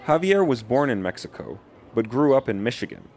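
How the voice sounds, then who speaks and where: A man reporting, reading a scripted news narration with measured, even delivery. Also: mid-range voice.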